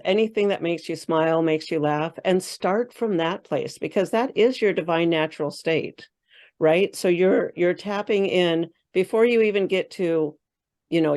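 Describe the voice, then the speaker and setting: A woman's voice: on the low side, darkish tone. A woman who is a spiritual coach, chatting as a guest in an interview and thinking aloud with frequent fillers and restarts. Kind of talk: casual interview chat.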